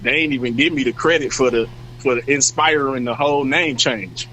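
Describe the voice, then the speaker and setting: A man co-hosting a morning talk show, talking in low-key, mumbling bits with halting restarts. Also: sharp tone, slight background noise, low-quality recording. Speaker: co-host. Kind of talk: casual group banter.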